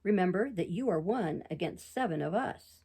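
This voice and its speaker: A woman's voice: on the low side, animated, moderate pace, dark tone, breathy edge. A woman voicing a threatening schoolboy gang leader in a storybook reading, speaking in a taunting, raised voice.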